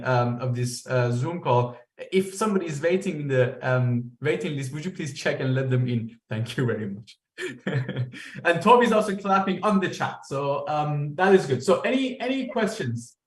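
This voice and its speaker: A man's voice: on the high side, expressive, moderate pace. A man hosting a meetup on a video call, friendly and laughing often while reading out the chat. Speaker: meetup host. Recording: echoey video call audio.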